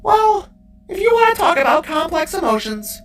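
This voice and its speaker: A very high-pitched, swooping voice for a chatty little cube creature. It is a playful, teasing sidekick from a fantasy audio story. The talk is wordy and excitable, with music behind it. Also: male voice, moderate pace, distant mic, full character voice. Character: chatty playful talking cube companion, male.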